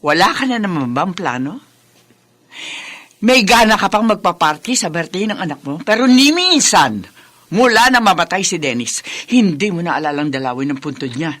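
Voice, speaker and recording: A sharp, forceful older Filipino woman, a resentful mother-in-law whose delivery swings widely in pitch. She is biting and sarcastic, heaping reproach on whoever she addresses. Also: sing-song, normal speed. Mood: angry, accusing. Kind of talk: radio drama acting.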